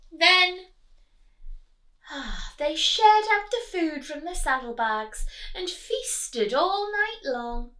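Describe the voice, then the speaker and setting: A woman storyteller with a high, bright voice reads to small children. Her pitch swings widely and she takes her time, chatting to the listener between passages. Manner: expressive, drops to whispers, asks the listener questions. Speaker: storyteller reading a picture book to children. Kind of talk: animated read-aloud with chatty asides to young children.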